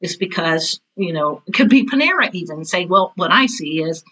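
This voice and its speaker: Older lady chatting in an interview, really bright forceful voice, direct, repeats stuff for emphasis. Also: lowish voice, lively intonation, moderate pace, low-quality recording. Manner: self-described intense, direct. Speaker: guest, theater teacher and author. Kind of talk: casual interview talk.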